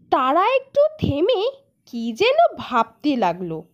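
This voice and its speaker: Slow, highly animated female storyteller. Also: dull audio. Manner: expressive, dramatic storytelling. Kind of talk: scripted fable narration for a cartoon.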